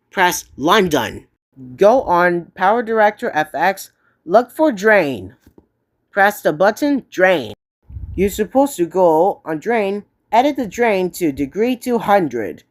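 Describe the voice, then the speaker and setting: A woman narrating a step-by-step software tutorial. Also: medium pitch.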